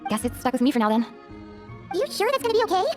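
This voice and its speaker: A tiny, chatty fairy companion for a video game. The voice is extremely high and swoops animatedly at a very fast clip. It is blunt, childlike and openly expressive. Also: female voice, soft background music.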